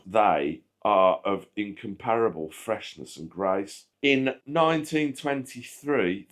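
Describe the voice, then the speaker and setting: Low-pitched man reading history narration. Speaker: channel host and narrator. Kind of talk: scripted historical narration read aloud.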